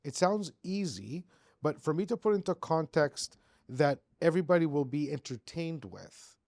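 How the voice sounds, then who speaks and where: A man from Greece hosting a podcast interview, animated and building his points with rising emphasis. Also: on the high side, normal speed, vocal fry, dry recording. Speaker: podcast host. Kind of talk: conversational interview.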